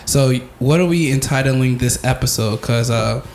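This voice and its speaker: A man's voice: mid-range voice, moderate pace, darkish tone, slight background noise. A young man hosting a podcast, talking in a boastful, slangy way with lots of fillers. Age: young adult. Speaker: podcast host. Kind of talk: casual interview chat.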